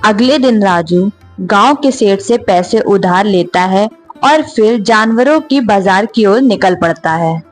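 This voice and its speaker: A woman's voice: medium pitch, lively intonation, unhurried, faint music behind. A woman narrator reading a scripted story for children.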